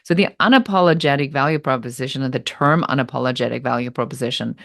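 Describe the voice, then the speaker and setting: A woman from Germany with a German accent, answering interview questions in a deliberate, explanatory way. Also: a bass voice, vocal fry, no room sound, studio quality. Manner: deliberate, explanatory, pace shifts between slow and quick. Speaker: business strategist guest.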